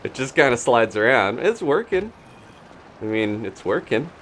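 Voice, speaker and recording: A man's voice: mid-range voice, sing-song, a little slow, a bit bright, slight background noise. A man doing casual live video game commentary, thinking aloud in a playful, rambling, muttering way.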